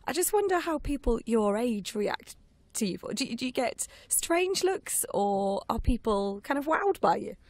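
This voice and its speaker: Woman radio interviewer, slightly high voice. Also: big pitch swings, measured pace, dry recording. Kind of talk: chatty, informal radio interview.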